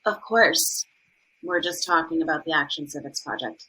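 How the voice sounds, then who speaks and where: Earnest female school leader, conflicted, crisp bright edge, slightly low pitch, audio drama. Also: moderate pace, poor audio. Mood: earnest, concerned. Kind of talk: dramatized podcast acting.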